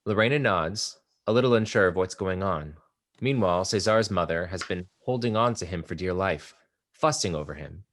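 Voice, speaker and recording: Low-voiced man reading stage directions. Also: moderate pace, fairly bright tone. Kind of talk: play table read. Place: art exhibition theater area.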